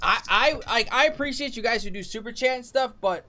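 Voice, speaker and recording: A man's voice: extremely high pitch, a little fast, bright, forceful tone. A man hosting a live stream, chatting easily and reading out chat messages and donations. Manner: easygoing, reads chat aloud.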